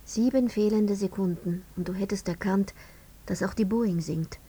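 A woman's voice: moderate pace, warm tone, close to an everyday voice. A woman playing a stern inquisitor in a radio drama, recounting events in a clinical, precise, accusatory way. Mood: cool, relentless. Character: stern female inquisitor retelling a disaster to the dead controller. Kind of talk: scripted radio drama in second person. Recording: noisy with low hum.

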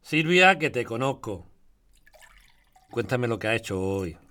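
A man acts as a probing, inquisitive husband in a radio play. His voice sits at a middle pitch and he talks in a conversational, domestic way. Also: big pitch swings, measured pace, bright, forceful tone. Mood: suspicious. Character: inquisitive husband working in family construction firm. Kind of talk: acted radio drama dialogue.

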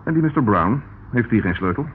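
An actor playing a persistent police inspector who questions firmly and insistently. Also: male voice, medium pitch, expressive, brisk, slightly rough. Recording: tinny old radio broadcast, faint hiss.

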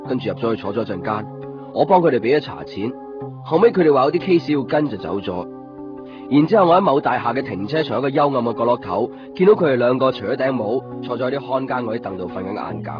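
A man's voice: medium pitch, natural voice. An actor playing a melancholy, lovesick man with a Hong Kong Cantonese accent, narrating wistfully in a radio drama. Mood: weary, wry. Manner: wistful, introspective. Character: lovesick, melancholy man pining for a missing woman. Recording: muffled 1990s radio drama, distant, music behind. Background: music.

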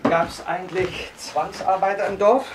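A man's voice: sits high, steady tone, unhurried, background noise. An actor playing a commanding, businesslike male film director who gives instructions in naturalistic radio drama dialogue. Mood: probing. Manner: directing, hesitant asides, giving instructions.

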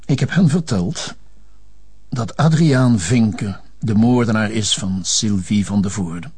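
An elderly Flemish notary in a television crime drama. He sounds husky and speaks in a halting, genteel way. He is resigned and lovelorn, given to French turns of phrase. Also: on the low side, a little mellow, heavily acted. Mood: resigned, hesitant. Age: older. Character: resigned, lovelorn elderly male notary confessing old secrets.

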